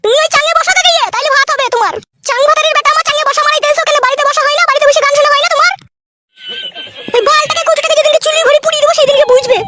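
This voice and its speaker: A performer voicing a sharp-tongued, scolding young village wife in a comedy cartoon, with a rural West Bengal accent. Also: very high voice, fairly even intonation, rapid-fire, crowd noise. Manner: scolding, foul-mouthed.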